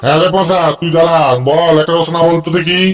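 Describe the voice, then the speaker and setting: Exasperated comic schoolmaster, male actor; quick, raised voice pitch, scolding and scheming, music behind. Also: flat delivery, a little hiss or hum, close to an everyday voice. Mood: bossy. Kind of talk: comic cartoon dialogue.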